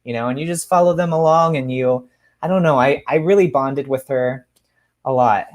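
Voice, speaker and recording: A man speaking as a guest author in a conversational interview, candid and thoughtful, pausing as he explains. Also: mid-range voice. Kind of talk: conversational interview about writing.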